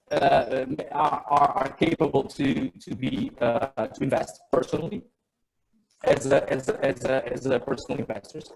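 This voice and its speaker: A man's voice: mid-range voice, vocal fry, a little mellow, low-quality recording. A man with a Portuguese accent giving a business presentation over a web call, hesitant and often repeating words and phrases. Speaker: investment webinar presenter. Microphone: computer on a web call.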